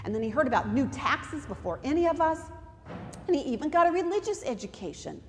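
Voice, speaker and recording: A woman's voice: sits high, very animated, fairly quick, a little hiss or hum. A woman playing a devoted, proud mother in a dramatic monologue, telling her story emphatically with conversational asides. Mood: excited, proud. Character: devoted, proud mother of a blind beggar son. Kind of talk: dramatic monologue reading at a church service. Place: church, during a service. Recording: reverberant church hall.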